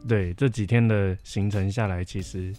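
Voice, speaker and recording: A man's voice: on the low side, fairly even intonation, moderate pace, mellow. A young man, chatting casually as a podcast guest. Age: young adult. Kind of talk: casual chat. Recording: background music behind the voice. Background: music.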